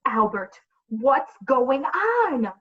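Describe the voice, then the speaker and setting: A woman's voice: high-pitched, sing-song, drawn-out words, exaggerated voice. A woman playing an indignant, dramatic pregnant single woman in a comedic radio play. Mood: shocked, hurt. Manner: dramatic, demanding. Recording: dull, distant livestream microphone.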